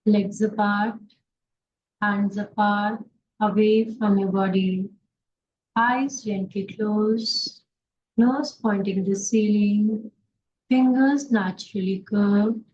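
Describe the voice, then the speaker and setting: A woman yoga instructor leading a guided relaxation meditation in short instructions with pauses between them. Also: mid-range voice, steady tone, a little mellow. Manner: slow, pausing instructions in short phrases. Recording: dull lo-fi sound, faint background noise.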